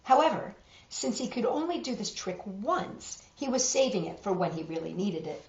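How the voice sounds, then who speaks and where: A woman reading a picture book aloud to children, expressive and dramatic, pausing for asides to show the pictures. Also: mid-range voice. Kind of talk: animated children's story reading with asides to viewers. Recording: roomy, dull lo-fi web video.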